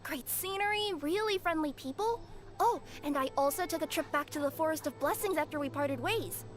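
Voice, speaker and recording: A bubbly young cat girl voiced by a woman chats in a very high, cute voice. She is perky and friendly, an anime game courier with music playing clearly behind her. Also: a little fast, lightly acted. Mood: delighted. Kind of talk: scripted anime-style video game dialogue.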